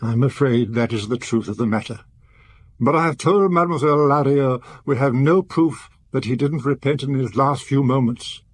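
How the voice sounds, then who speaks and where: Guy playing a solemn priest in a radio play, husky medium voice, very polite and formal, careful wording. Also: mid-range voice, lightly acted. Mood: grave, courteous. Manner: formal, deliberate, courteous. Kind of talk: radio drama acting.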